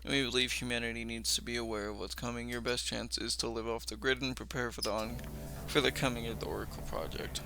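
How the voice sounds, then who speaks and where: A man's voice: medium pitch, level tone, fast, creaky, background noise. A young man hosting a reaction video, casually commenting and reading text aloud.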